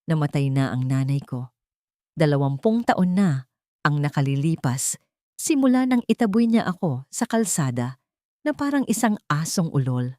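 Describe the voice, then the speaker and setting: A woman's voice: low voice, lively intonation, moderate pace, dark tone, no room sound, clean studio sound. A woman narrator voicing a cold, bitter rich man with a Filipino accent in an audio story, stern and emphatic. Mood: cold bitterness. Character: cold, bitter billionaire man rejecting his mother.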